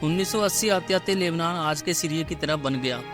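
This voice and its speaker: A man's voice: on the high side, rapid-fire, over music. A man narrating a scripted video voice-over, addressing listeners directly in an urgent manner.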